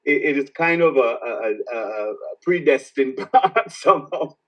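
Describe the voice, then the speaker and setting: A man from Jamaica with a Jamaican accent, an author answering interview questions in a reflective, halting way that repeats words as he starts each thought. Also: mid-range voice, expressive, a bit bright, recorded from a distance, rough recording. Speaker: author guest.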